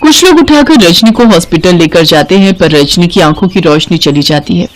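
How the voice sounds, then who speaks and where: A woman's voice: fairly low pitch, lively intonation, moderate pace. A woman narrating a scripted moral story as a storyteller.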